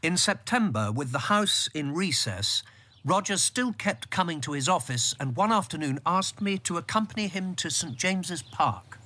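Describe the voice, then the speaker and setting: Bright-voiced memoir narrator. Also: male voice, fairly high pitch, lively intonation, moderate pace. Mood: reflective. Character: male narrator recalling his political life, composed. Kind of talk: first-person radio drama narration.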